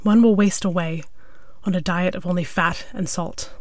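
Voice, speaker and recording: A woman's voice: lowish voice, steady tone, normal speed, no room sound. A woman reading a piece of scripted literary fiction as its narrator. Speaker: story narrator.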